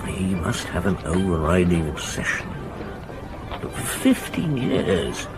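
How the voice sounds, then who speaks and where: Deep-voiced, thoughtful police inspector. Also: male voice, very animated, music in the background, full character voice. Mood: musing. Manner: measured, musing, deliberate. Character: middle-aged French police inspector, thoughtful, courteous, dogged. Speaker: actor playing a police inspector. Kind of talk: radio drama acting.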